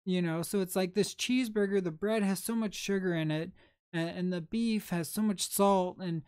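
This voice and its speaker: A young man hosting a late-night livestream, chatting in a soft, calm, unhurried way. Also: high-pitched, clean tone, dry recording, clean studio sound. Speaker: livestream host.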